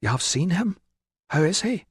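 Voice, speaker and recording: A worried young wife, earnest and anxious yet firm in her words, as a male narrator puts her on. The reader lifts his pitch clearly to play her, in a close, dry recording. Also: big pitch swings, moderate pace, dry recording, full character voice.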